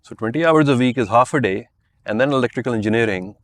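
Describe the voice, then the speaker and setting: A man's voice: medium pitch, quick pace, a little hiss or hum. A man in his fifties, originally from Pakistan, telling his life story as a podcast guest and loosely filling his talk with "you know" and "I mean". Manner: rambling, many "you know" and "I mean" fillers. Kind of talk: relaxed interview answers.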